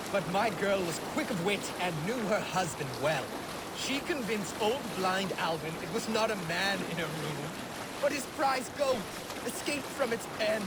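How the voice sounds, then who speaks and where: An actor playing a bawdy, self-mocking Viking crewman in a video game, spinning comic tales over loud water and boat noise. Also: high voice. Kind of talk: theatrical comic storytelling.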